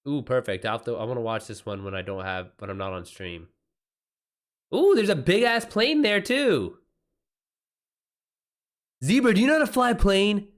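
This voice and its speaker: A man's voice: fairly high pitch, lively intonation, moderate pace, long pauses. A man hosting a livestream, chatting casually with viewers in loose slang and swearing freely. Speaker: livestream host.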